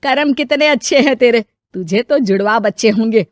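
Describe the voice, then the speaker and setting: A woman's voice: fairly high pitch, normal speed, no echo, clean studio sound, natural voice. A woman playing an older mother-in-law in a family story, fond and fussing, with exclamations. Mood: fond, chatty.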